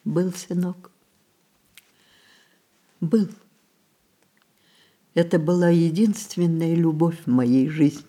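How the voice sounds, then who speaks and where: An actress playing a dying old matriarch in a radio drama, imperious yet tender, with theatrical, halting phrases. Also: female voice, lowish voice, fairly even intonation, dark tone. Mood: wistful confession. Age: older. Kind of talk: Russian stage-style radio drama acting.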